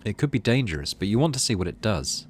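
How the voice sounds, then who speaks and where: A man's voice: fairly low pitch, sing-song, fast, a little hiss or hum. A man doing let's play commentary on a game, reading narration aloud and thinking through choices in tentative asides. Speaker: gaming video host.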